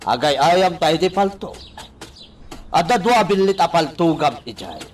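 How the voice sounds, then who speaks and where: A man's voice: a little high, sing-song, measured pace, a little hiss or hum. An actor playing a sly, coaxing man who leads another along in a radio drama, with short prodding phrases. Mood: persuasive.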